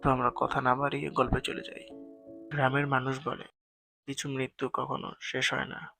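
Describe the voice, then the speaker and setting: A man narrates a dramatic horror tale in a medium-pitched voice. His sound is sharp and bright with a clear gravelly creak, and a faint bed of music runs behind his suspenseful delivery. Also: completely flat delivery, normal speed. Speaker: horror story narrator and channel host. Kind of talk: dramatic scripted ghost story narration.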